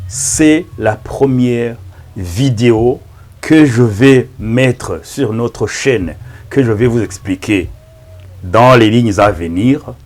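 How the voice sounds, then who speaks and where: A middle-aged Rwandan-born pastor whose first language is Kinyarwanda, praying and preaching slowly and solemnly, with frequent amens. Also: male voice, fairly low pitch. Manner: slow, solemn, with frequent amens and hushed thanks. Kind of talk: prayer and sermon introduction.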